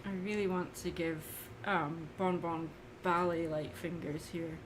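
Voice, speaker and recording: A woman's voice: lowish voice, fairly even intonation, a little slow, background noise. A woman livestreaming as an artist, giving casual, unscripted commentary on her drawing and thinking aloud in musing fragments.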